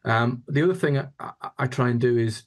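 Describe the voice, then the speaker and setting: A man's voice: medium pitch, brisk. A man, giving reflective interview answers on a video call and often repeating words as he goes. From Yorkshire, England. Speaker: interview guest, housing chief executive.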